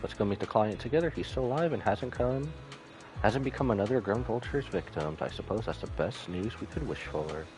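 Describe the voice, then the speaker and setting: Streamer guy reading game story out loud, lowish voice, very up and down, stumbles a bit, soft music behind. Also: expressive, fairly quick. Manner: stumbles and self-corrects while reading. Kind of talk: reading game text aloud and live commentary.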